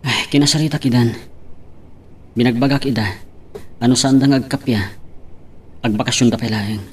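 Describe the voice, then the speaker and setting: A man's voice: medium pitch, fairly even intonation, moderate pace, husky, close to an everyday voice. A man acting a flustered, deferential office manager in an Ilocano radio drama. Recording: faint background noise.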